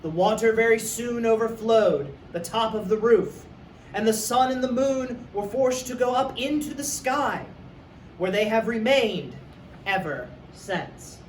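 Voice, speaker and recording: A man's voice: very high voice, measured pace. A man who works as a teacher, reading a story aloud for young students. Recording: echoey room, distant mic, faint noise.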